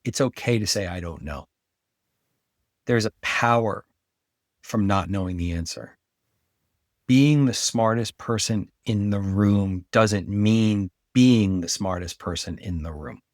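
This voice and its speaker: A middle-aged man with a fairly deep voice talks in a casual interview. He is emphatic and repeats phrases to land a point. The sound is dry, with no room on it. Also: slow, dry recording. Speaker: podcast guest, brand marketing expert.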